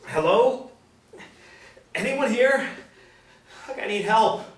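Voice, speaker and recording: A man's voice: sits high, expressive, slow pace. A man playing a desperate, confused man in agony, stammering and strained, in a drama reading. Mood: desperate, pleading. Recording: echoey room, distant mic, background noise.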